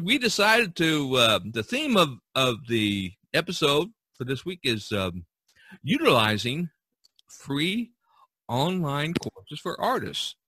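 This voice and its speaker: A man hosting a podcast in a conversational style, pausing often mid-sentence. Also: medium pitch, sharp tone, dry recording. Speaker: podcast host.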